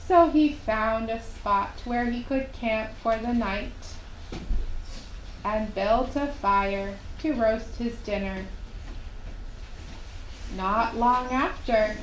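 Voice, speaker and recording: Woman, children's storytelling, slow and spacious pacing, echoey room, faint background noise. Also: a little high. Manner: unhurried, simple phrasing with long pauses. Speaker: storyteller narrating a puppet show. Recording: roomy live recording with faint noise.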